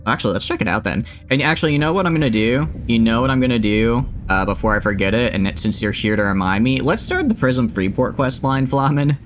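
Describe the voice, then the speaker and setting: A man's voice: medium pitch, lively intonation, fast, few pauses, slight background noise. A man chatting with viewers while streaming a game, rambling and joking at his own expense. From United States. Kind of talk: casual gameplay stream chat.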